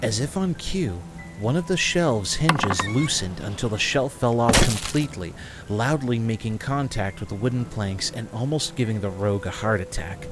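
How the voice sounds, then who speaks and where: A man's voice: lowish voice, moderate pace, over loud music. A man reading scripted narration for a fantasy audio drama.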